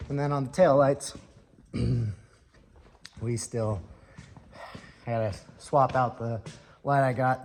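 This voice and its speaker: Hoarse man in an echoey shop. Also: medium pitch, darkish tone. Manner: plain-spoken, matter-of-fact. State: hoarse, slightly lost voice. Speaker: truck mechanic and shop owner. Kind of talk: casual shop vlog narration. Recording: echoey shop with faint noise.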